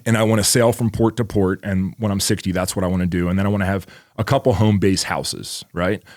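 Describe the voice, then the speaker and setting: A man's voice: low-pitched, fairly even intonation, a little fast, darkish tone, dry recording, studio quality. A man around forty co-hosting a podcast, talking casually in a rambling way with lots of "like" and freely dropped swear words. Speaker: podcast co-host. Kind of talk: casual chat.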